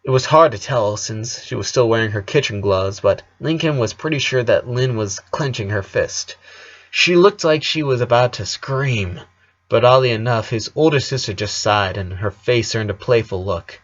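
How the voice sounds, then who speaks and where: Male narrator for fiction, medium pitch, home-recorded and a little muffled. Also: moderate pace. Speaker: story reader. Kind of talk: scripted narration of fan fiction.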